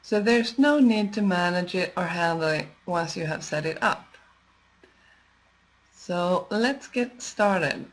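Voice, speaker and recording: Female tutorial presenter, slightly low voice, slow and measured, explaining software steps with working pauses. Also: animated, low-quality recording. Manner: measured, explanatory, pausing as she works. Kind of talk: unscripted step-by-step screen tutorial narration.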